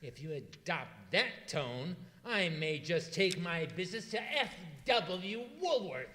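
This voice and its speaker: A haughty customer in a high, swooping voice. He is pompous and indignant, old-time radio style, in an echoing, noisy hall. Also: sing-song, slow pace, bright, forceful tone, exaggerated voice. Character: haughty, easily offended, pompous store customer. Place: live performance in a museum hall. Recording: noisy, echoey hall stage recording.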